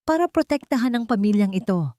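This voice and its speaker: A woman's voice: fairly high pitch, animated, fairly quick, warm tone, dry recording, studio quality, pitched above the performer's own voice, natural voice. A woman voicing a proud, controlling wealthy mother who protests defensively in an audio love story. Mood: pleading, defensive. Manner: imperious, protesting.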